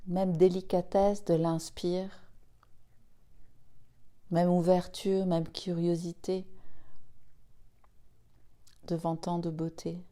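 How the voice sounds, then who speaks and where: Very slow, mellow woman guiding relaxation. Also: fairly low pitch, little pitch movement. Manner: soothing, unhurried, gentle, with spaced phrases. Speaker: yoga nidra guide. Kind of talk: slow guided relaxation meditation.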